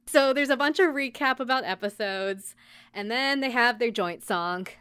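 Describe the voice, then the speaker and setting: A woman's voice: a little high, moderate pace, a bit bright, clear, resonant tone, no room sound, clean studio sound. A woman hosting a podcast, chatting casually and acting out bits as she recaps a show. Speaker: podcast host. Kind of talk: casual chat recapping an anime.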